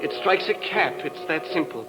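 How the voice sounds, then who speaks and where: An actor playing a deranged, dreamy bomber in a 1950s radio crime drama, halting and rapturous as if entranced. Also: male voice, on the high side, expressive, natural voice, poor audio. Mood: proud, fervent. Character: deranged male bomber rapt by imaginary music. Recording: old radio recording with music behind.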